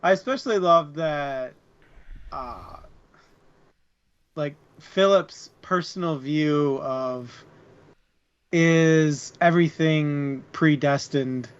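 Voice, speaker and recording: On slightly dull, lo-fi audio, a man with a fairly high voice chats casually about a book. He takes his time, speaking at a slow pace as a co-host. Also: smooth. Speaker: book club show co-host.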